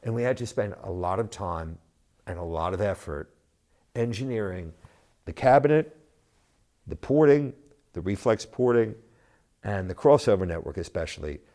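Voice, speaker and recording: A man presenting his company's product in an unscripted way, speaking in a measured, halting manner with deliberate pauses and emphasis. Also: low voice, darkish tone.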